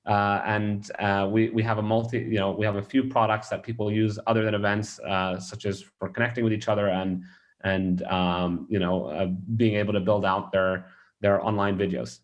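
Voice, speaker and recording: A man's voice: fairly low pitch, steady tone, normal speed. A man from Australia, a startup founder, answering interview questions in a rambling way with many restarts and fillers.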